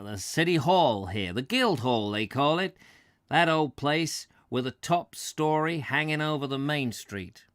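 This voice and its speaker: Man reading aloud, doing a chatty American tourist: slangy, lively, dry. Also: a little high, no echo. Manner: folksy, slangy, enthusiastic. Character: brash chatty American male tourist, middle-aged.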